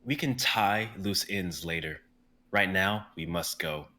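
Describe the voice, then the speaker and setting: A man voicing Akalos, a commanding warrior who speaks in a formal, measured way. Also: low voice, sharp tone, heavily acted. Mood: stern.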